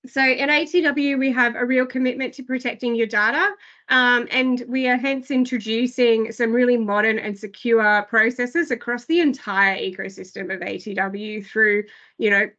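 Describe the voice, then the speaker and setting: A woman with a fairly high, slightly hoarse voice walks listeners through new platform features. She talks in loose, hesitant runs, leaning on "I guess" and "um". The sound is dull and lo-fi, as over a video call. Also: flat delivery, moderate pace, bright, forceful tone. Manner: filler-heavy, frequent "I guess" and "um". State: losing her voice. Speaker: product presenter. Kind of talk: online product briefing.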